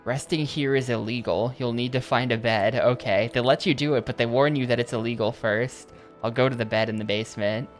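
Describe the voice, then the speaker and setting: A man's voice: medium pitch, fairly even intonation, a little fast, faint music behind. A man streaming a video game, chatting casually and rambling between reading game text aloud. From United States. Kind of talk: casual gameplay commentary.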